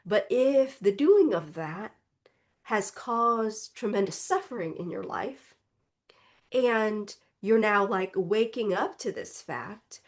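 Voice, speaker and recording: A woman reverend giving a contemplative Sunday talk, her voice congested as she recovers from illness, speaking gently and reflectively and often adding "right?". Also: medium pitch, lively intonation, slow pace. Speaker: reverend and spiritual teacher.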